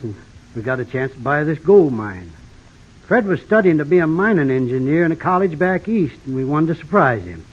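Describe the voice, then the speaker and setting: A man playing a folksy, wary old homesteader in a western radio drama, with a plain, drawling rural Western American accent. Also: fairly high pitch. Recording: dull vintage radio broadcast recording.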